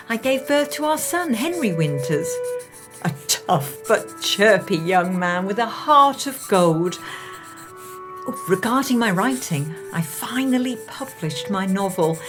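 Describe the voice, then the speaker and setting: Actress as slow-speaking, earnest genteel young Englishwoman. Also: mid-range voice. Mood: fond, resolute. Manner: formal, carefully enunciated, heartfelt. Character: earnest, genteel young Englishwoman of 1910s, romantic, independent-minded. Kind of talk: period radio drama acting, diary narration.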